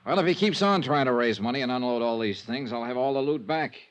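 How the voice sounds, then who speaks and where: A man's voice: medium pitch, fast talker, lightly acted. A man playing a dry, world-weary insurance investigator, narrating in a clipped, matter-of-fact 1950s radio detective style. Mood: dry, cool. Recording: vintage radio recording, music behind.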